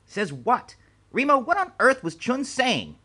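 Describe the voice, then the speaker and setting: A man's voice: on the high side, expressive, a little fast, fairly bright tone, pitched above the performer's own voice. A man voicing an elderly male spymaster, precise and anxious, speaking urgently. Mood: alarmed. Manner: urgent, pleading.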